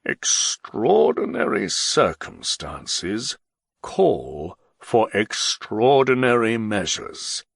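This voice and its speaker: Man voicing stern commanding nobleman, audiobook, curt, emphatic, husky, dry close sound. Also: mid-range voice, big pitch swings, measured pace, dry recording, exaggerated voice. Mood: grave, brisk command. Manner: sharp, emphatic, clipped.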